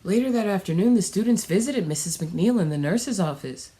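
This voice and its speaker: Animated woman reading a children's story. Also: mid-range voice, fairly quick.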